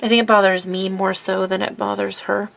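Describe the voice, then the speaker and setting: A woman's voice: mid-range voice, little pitch movement, fairly quick, creaky, fairly bright tone, a little hiss or hum. A woman with a congested voice from a cold, chatting casually and rambling with fillers while working at her craft desk. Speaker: papercraft video host.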